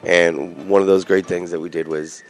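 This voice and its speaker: A man's voice: low voice, flat delivery, normal speed, soft background music, poor audio. A male radio host in casual chat, rambling and jokey, often restarting his sentences.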